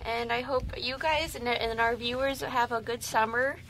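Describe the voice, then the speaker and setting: A woman's voice: a little high, fairly even intonation, normal speed, fairly bright tone, slight background noise, rough recording. A young woman chatting informally as part of a group on a radio show. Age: young adult. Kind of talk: casual group chat.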